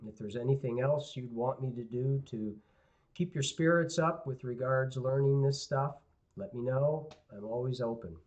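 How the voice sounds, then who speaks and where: A male guitar teacher giving a live online lesson, hoarse and raspy, clearing his throat often, talking to viewers in a relaxed, rambling way. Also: mid-range voice, a little slow. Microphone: computer mic on a live stream. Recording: dull lo-fi livestream audio.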